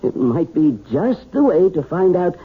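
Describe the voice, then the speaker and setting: A kindly family doctor with a very husky, raspy voice that swings widely in pitch. He talks in a folksy, reassuring way. The sound is tinny and narrow, like an old radio drama. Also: big pitch swings, a little slow, heavily acted.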